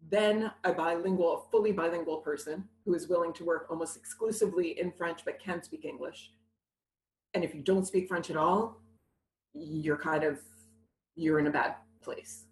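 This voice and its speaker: A woman from New City, talking candidly and with animation as an interview guest. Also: fairly low pitch. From New York City. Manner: candid, animated, laughs easily. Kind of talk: conversational interview. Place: living in Montreal. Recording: roomy echo.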